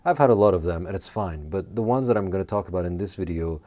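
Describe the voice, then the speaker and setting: A deep, mellow male voice, a native French speaker talking in English. He delivers a personal monologue to camera as a video essay host, earnest and measured, leaning on "you know" and "like" as he thinks aloud. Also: animated. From Quebec. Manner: earnest and measured, with frequent fillers.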